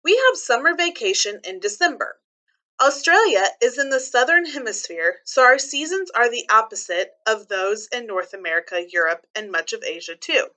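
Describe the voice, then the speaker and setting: A woman reading a children's book aloud like a teacher, pausing to explain things simply and clearly to young listeners. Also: fairly high pitch, expressive, pushed and bright.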